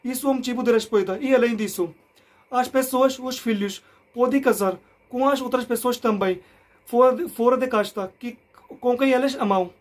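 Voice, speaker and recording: A young man from India speaking Portuguese as a learner. He explains things casually to the camera, often restarting and correcting himself and checking in with "entende?". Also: extremely high pitch. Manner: halting, frequent restarts and self-corrections. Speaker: vlogger.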